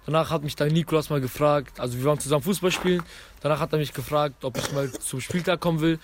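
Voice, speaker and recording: A man's voice: fairly high pitch, fairly even intonation, a little hiss or hum. A young man, a youth league player, answering an interview casually and stringing his story together with "danach". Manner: rushed, strings clauses with "danach".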